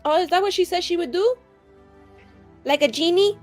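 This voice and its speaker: A woman casually roleplaying at a tabletop game, expressive and quick to joke. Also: very high-pitched, soft background music. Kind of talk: casual, joking in-character roleplay and table talk.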